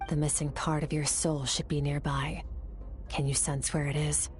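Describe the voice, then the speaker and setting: An actress voices an aloof woman warrior in a fantasy game, her voice on the low side. She speaks with measured confidence and dry, deadpan logic, with faint music behind her. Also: close to an everyday voice. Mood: calm, focused. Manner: measured, unhurried, coolly deadpan. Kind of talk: English-dubbed fantasy video game dialogue.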